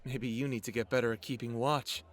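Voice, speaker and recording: A man's voice: medium pitch, steady tone, fairly quick, natural voice. A man playing an earnest, level-headed male werewolf in an audio drama, speaking in a straightforward way. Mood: concerned.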